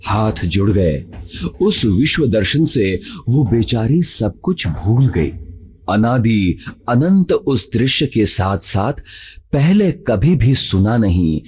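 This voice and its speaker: Slow, animated male storyteller. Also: lowish voice, breathy edge. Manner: expressive, dramatic. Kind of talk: scripted children's story narration. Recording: music behind the voice.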